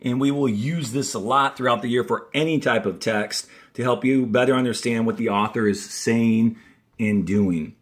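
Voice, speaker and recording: A male elementary teacher with a mid-pitched voice gives an instructional reading lesson. He explains things step by step and reads aloud clearly for children, pausing to stress the key words. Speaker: fourth grade teacher.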